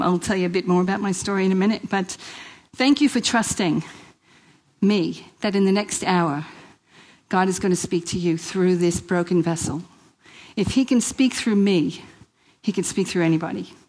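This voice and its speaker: A woman's voice: medium pitch, a little mellow, low-quality recording. A middle-aged woman with a New York accent touched by Italian and Australian, giving a chatty, exhortative church talk with repeated phrases and rhetorical questions. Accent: New York with Italian and Australian traces. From New York, United States. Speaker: guest speaker at a church women's event. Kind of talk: informal inspirational sermon to a live audience.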